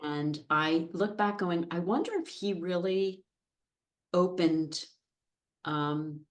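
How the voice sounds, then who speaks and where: Low-pitched chatty American woman. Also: lively intonation, slow. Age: 50s. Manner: chatty, self-deprecating. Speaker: podcast guest. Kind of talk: casual anecdotal interview conversation. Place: podcast studio.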